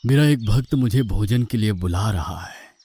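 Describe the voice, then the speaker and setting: A man voicing a calm, patient male god who speaks quietly and with composure in an animated devotional story. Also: fairly low pitch, normal speed, slightly rough, no room sound.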